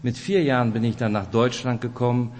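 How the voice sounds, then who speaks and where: A man's voice: mid-range voice, steady tone, fairly quick, a little mellow. Man with rolled R in echoing hall. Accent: Russian-German, rolled R. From Kazakhstan. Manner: friendly, chatty, addresses listeners directly. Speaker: lay preacher at a church weekend. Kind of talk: informal sermon with personal introduction. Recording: reverberant hall.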